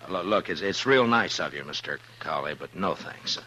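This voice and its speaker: An actor playing a steady, kindly, plain-spoken Old West marshal in an American 1950s radio Western, speaking in a thoughtful, measured way. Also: male voice, deep voice, a little hiss or hum. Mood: easygoing, kindly. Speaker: lead actor and narrator. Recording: vintage radio recording, music behind.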